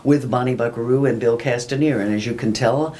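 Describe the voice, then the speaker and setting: A woman hosting a casual radio interview, chatty and laughing easily, her voice unusually low for a woman. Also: a little fast, warm tone, slight background noise. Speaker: radio show host.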